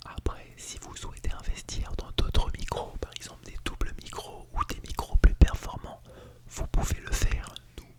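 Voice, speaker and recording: An ASMR artist speaking in a soft, unvoiced breathy whisper, playing an instructor giving a lightly playful lesson, recorded close on a binaural microphone. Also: moderate pace. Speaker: ASMR artist role-playing as a teacher at an ASMR school. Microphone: close Blue Yeti microphone in binaural mode.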